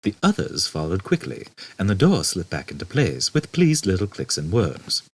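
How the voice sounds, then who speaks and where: Deep-voiced, animated male audiobook narrator. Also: moderate pace, slightly rough. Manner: expressive storytelling. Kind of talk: scripted comic science fiction narration.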